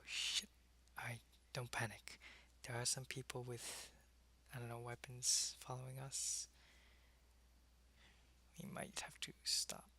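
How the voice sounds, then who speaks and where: Man acting a gravelly, world-weary vampire. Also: mid-range voice, bright, forceful tone, full character voice. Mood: hushed, wary. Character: world-weary, lonely old vampire, former human soldier, protective, menacing to foes. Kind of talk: intimate ASMR roleplay acting.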